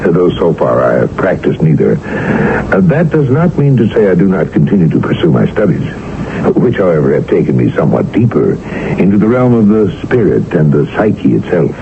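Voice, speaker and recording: A grave, learned occult doctor. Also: male voice, sing-song, vocal fry, noisy background, full character voice. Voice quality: deep, measured, formal diction. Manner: grave, deliberate and courteous. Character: learned male doctor of the occult, calm and measured. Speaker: narrator and lead character. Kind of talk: 1970s radio drama acting. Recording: narrow tinny old radio broadcast with music.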